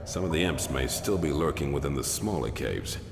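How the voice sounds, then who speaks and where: A man's voice: a bass voice, expressive, fairly quick. A man playing a solemn, authoritative leader in a video game. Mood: commanding. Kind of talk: video game character acting. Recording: echoey reverberant with music behind.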